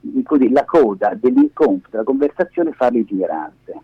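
Husky middle aged guy chatting on the radio by phone, rambly and emphatic, kinda echoey dull sound. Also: medium pitch, wildly swooping pitch, fairly quick. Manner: digressive, emphatic, explanatory. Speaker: interview guest, media activist and lab director. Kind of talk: casual spontaneous radio conversation. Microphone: phone held against face.

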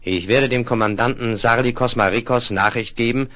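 A man playing an authoritative, genial state marshal in a science fiction radio play, speaking in a measured, courteous way. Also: mid-range voice, steady tone, pushed and bright, close to an everyday voice. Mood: measured, official. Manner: measured, courteous, jovially commanding. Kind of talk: 1970s German radio play acting.

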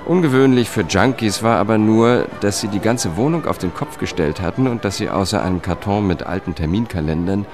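A male actor plays a dogged investigator with a low voice, telling his case in a crime radio play. He is dry and sober, in short factual sentences. Music sits clearly behind him. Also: brisk, hardly any pauses, lightly acted. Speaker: protagonist and first-person narrator. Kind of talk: first-person crime radio drama narration.

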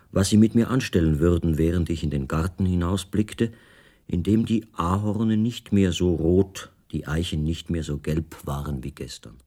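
An actor playing a captive writer who recounts his confinement in measured, precise, detached literary narration for a radio play. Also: low voice, a little mellow, breathy edge.

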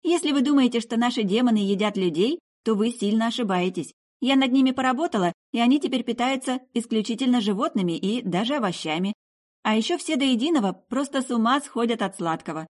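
Woman acting a high, breezy, indignant young sorceress. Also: animated, quick pace, a little mellow. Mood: matter-of-fact. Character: odd, chatty, indignant young sorceress girl.

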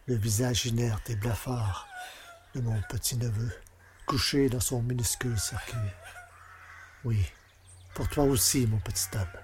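An actor playing a bitter, vengeful village carpenter, speaking in a brooding, menacing monologue for a French audio drama. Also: male voice, mid-range voice, a little hiss or hum, natural voice. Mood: grieving, hateful.

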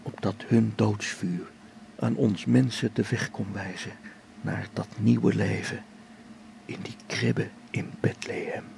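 An actor playing a middle-aged male space explorer whose faith is shaken, speaking a brooding, halting radio play log that sinks to hushed whispers. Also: fairly low pitch, fairly even intonation, a little hiss or hum, full character voice. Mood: hushed, somber. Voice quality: gravelly. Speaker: log-keeping space expedition member.

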